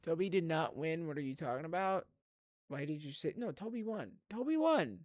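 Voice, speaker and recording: A tired young man streaming, chatting loosely with viewers and reading chat aloud, his strained throat a little raspy. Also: fairly high pitch, animated, moderate pace, a little mellow, dry recording, clean studio sound. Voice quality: slightly raspy. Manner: rambling, giggly, reads chat aloud. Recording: music playing behind voice.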